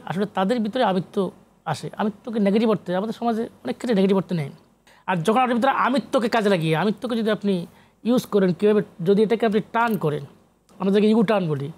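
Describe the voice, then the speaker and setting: A man's voice: high voice, darkish tone. A man from Bangladesh talking as an interview guest, emphatic and repetitive, posing rhetorical questions and mixing in English words. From Comilla, Bangladesh. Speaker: guest poet and researcher. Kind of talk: interview talk.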